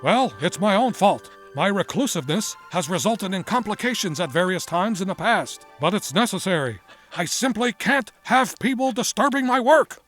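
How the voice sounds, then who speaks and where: Excitable old scientist, very high voice, theatrical exclamations, secretive, wordy, cartoon style, faint music behind. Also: male voice, normal speed. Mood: earnest, secretive. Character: eccentric, excitable, secretive older male scientist and inventor. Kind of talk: dramatic character acting for a motion comic.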